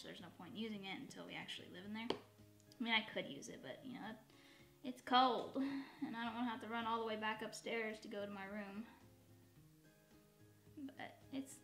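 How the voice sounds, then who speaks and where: A chatty woman with a bright, forceful tone and a slightly raspy, dry voice talks in a rambling, self-correcting way as she does her makeup. She sits at desk distance from the camera in an echoey room at home, with faint music behind her. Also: mid-range voice. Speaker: beauty vlogger. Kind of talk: chatty get-ready-with-me. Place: bedroom at home, indoors.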